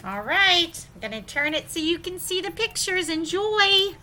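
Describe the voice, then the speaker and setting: A woman teacher doing an animated story time for young children, sing-song and exclaiming, often putting questions to them. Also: very high voice, measured pace, a little hiss or hum.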